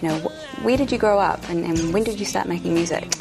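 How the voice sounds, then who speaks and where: A woman's voice: on the low side, quick pace, gravelly, over music. A woman hosting a TV interview in an upbeat, chatty presenter manner. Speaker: TV host. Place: TV studio couch.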